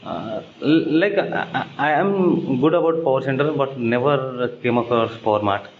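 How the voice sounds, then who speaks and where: Hesitant man on a muffled, echoey call. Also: medium pitch. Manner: hesitant, halting. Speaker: job candidate. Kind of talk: answering technical interview questions. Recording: dull, echoey, noisy call recording.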